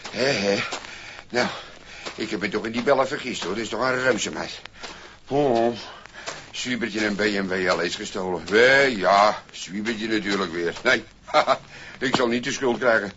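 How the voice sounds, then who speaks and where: An actor playing a roguish, chatty Dutch tramp with a folksy, rambling manner in a 1960s radio play. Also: male voice, lowish voice, moderate pace, lightly acted. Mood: relieved, muttering. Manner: hesitant, rambling, folksy muttering. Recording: noisy, dull 1960s vinyl record.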